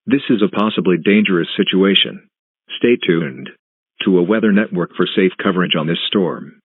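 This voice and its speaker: A man reading scripted emergency weather warnings as an announcer, in a formal, measured, deliberate way. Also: deep voice, husky, dry recording. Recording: narrow, phone-like band.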